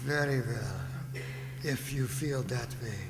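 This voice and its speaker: A man playing a formal, condescending psychiatrist who lectures in measured phrases in an old-time radio drama. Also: medium pitch, gravelly, a crowd in the background. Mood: composed. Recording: echoey hall, background noise.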